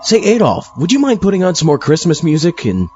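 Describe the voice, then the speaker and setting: A man's voice: on the high side, wildly swooping pitch, a little fast, darkish tone, lo-fi sound, faint music behind. A man playing an exasperated zombie astronaut party host, dry and put-upon, in a comic audio drama. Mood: polite, wry.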